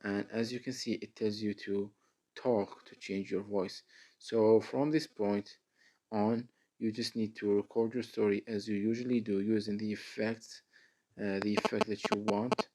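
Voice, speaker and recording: A man with a low voice and a heavy, creaky fry hosts a how-to tutorial. He walks through each step in a deliberate, halting manner, addressing the viewer directly. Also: darkish tone. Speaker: tutorial host. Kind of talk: step-by-step screen tutorial narration.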